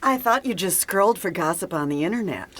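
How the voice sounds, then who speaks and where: A woman's voice: fairly low pitch, expressive, a little fast, fairly bright tone, slightly rough. An actress playing a blunt, combative woman in an audio drama, challenging those around her. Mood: defensive. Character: blunt, combative female radio station colleague. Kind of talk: audio theater acting.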